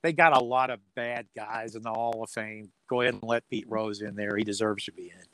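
A man's voice: on the low side, steady tone, a little fast, fairly bright tone, dry recording. A man speaking as a sports announcer being interviewed, chatting casually in a folksy, joking way with dry humour. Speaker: radio sports play-by-play announcer as interview guest.

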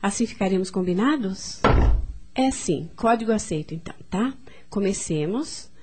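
A woman's voice: mid-range voice, expressive, normal speed, noisy background, natural voice. A woman acting an elegant, sociable society hostess aunt in a radio drama, with a Brazilian accent and an effusive, genteel manner. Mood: playful, commanding. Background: music.

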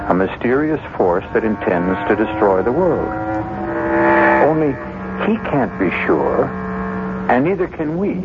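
An actor playing a solemn, ironic storyteller, narrating a radio mystery drama in a measured, theatrical manner. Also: male voice, medium pitch, heavy background noise. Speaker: host and narrator. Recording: muffled vintage radio, loud music behind.

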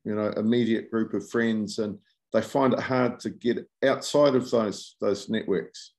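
A man hosting a property podcast, asking questions with many fillers and halting phrasing. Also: on the low side, fairly even intonation, darkish tone. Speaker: podcast host. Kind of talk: casual interview chat.

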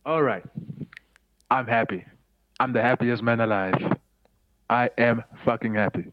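A man talking football with friends in casual chat, excitable and emphatic, swearing freely. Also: medium pitch, measured pace, no room sound. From South Africa. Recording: dry, dull lo-fi audio.

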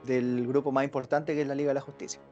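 A man at a middle pitch explains his points one by one in a steady, lecturing way. Faint music runs underneath his voice. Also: fast talker, muffled recording. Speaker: podcast co-host. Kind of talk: casual chat.

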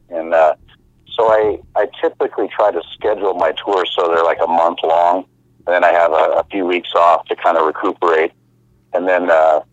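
A man in his fifties talking casually as a guest, relaxed and halting, with many fillers and easy laughs. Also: deep voice, no room sound. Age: 50s. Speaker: musician guest. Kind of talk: casual interview over the phone. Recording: muffled phone line.

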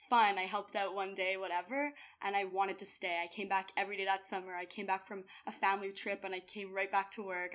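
A woman's voice: mid-range voice, fairly bright tone. A young adult woman giving earnest, conversational answers as a podcast guest. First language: bilingual in French. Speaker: podcast guest, youth volunteer. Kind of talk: casual interview answers.

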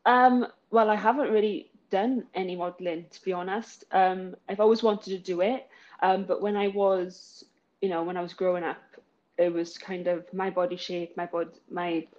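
A woman's voice: fairly low pitch, steady tone, moderate pace, a little mellow. Welsh woman, thirties, chatty and giggly, ums and likes, muffled lo-fi sound. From South Wales. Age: 30s. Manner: bubbly, giggly, lots of fillers. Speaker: interviewee, new model. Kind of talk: casual interview chat.

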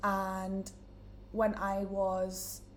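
A woman's voice: medium pitch, completely flat delivery, drawn-out words, a little hiss or hum. A young woman from Newcastle with a Geordie accent, chatting casually as an interview guest in a room, with lots of "like" and "um". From Newcastle, England. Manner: chatty, many fillers like "like" and "um". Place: indoor room, in person.

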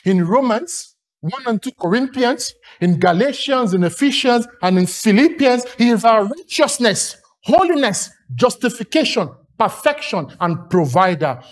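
Nigerian man, preacher, sermon, animated pitch, rhythmic repetition. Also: measured pace. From Lagos, Nigeria. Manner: emphatic, rhythmic repetition and long lists.

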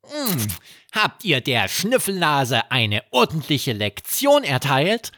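A man's voice: on the high side, huge pitch swings, slow, pushed and bright, dry recording, studio quality, voice pushed up, exaggerated voice. A man voicing a cheeky, worldly-wise toy rabbit in a children's story, teasing and humming between remarks. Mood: teasing, knowing.

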